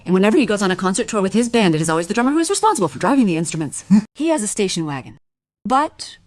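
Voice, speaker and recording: A woman's voice: on the high side, a little mellow, no echo. A woman playing an anxious wife full of doubt in a radio drama, speaking in an insistent, questioning way. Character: anxious musician's wife doubting her husband's innocence. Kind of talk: dramatic radio play dialogue and monologue.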